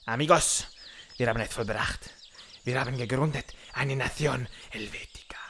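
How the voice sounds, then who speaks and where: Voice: medium pitch, a bit bright, lightly acted. Solemn Spanish-accented witch played by a man. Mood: calm, solemn. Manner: deliberate, broken syntax. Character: Spanish-speaking revolutionary witch, calm and solemn. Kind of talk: comic audio drama acting.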